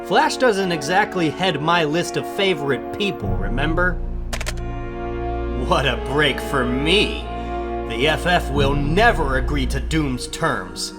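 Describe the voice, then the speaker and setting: A man's voice: fairly high pitch, lively intonation, takes it slowly, loud music behind the voice. A man playing Peter Parker, a wry, bookish, youthful teenage schoolboy with sardonic delivery in a comic book dramatization.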